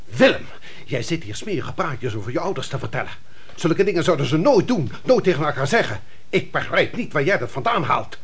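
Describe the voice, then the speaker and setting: An actor in a radio play as a stern, moralising man, husky and preachy with indignation. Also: a little high, very animated, fairly quick. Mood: reproachful. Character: stern, outraged, moralising man scolding a boy.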